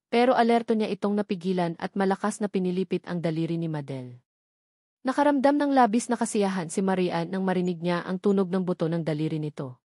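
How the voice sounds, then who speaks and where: Female audiobook narrator, mid-pitched, reading scripted dramatic fiction in a dry recording. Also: darkish tone, no echo.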